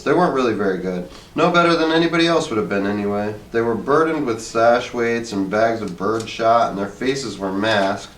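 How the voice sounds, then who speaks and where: A man reading a short story aloud as an expressive narrator. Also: fairly low pitch. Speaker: story reader. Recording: echoey room, distant mic, faint noise.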